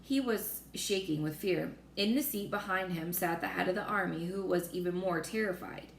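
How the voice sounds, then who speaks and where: A woman reading a children's story aloud in an expressive narrating style. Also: fairly low pitch, moderate pace, vocal fry. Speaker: storybook reader. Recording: roomy echo with faint noise.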